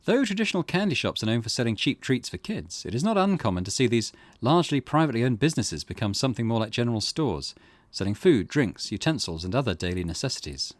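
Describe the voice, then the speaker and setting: A man's voice: mid-range voice, big pitch swings, fast talker, hardly any pauses, dry recording. A man hosting a gaming video, reading out a visual novel as narrator. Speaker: gaming host.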